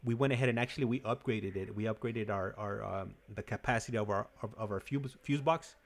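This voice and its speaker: A man hosting a call-in show in casual chat, speaking haltingly with frequent stammered repetitions. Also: lowish voice, vocal fry.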